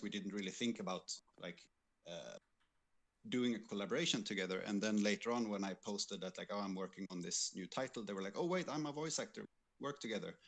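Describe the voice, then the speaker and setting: Low-voiced man chatting casually, hesitantly. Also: a bit bright. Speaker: livestream co-host and game developer. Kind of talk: casual chat, recounting past work.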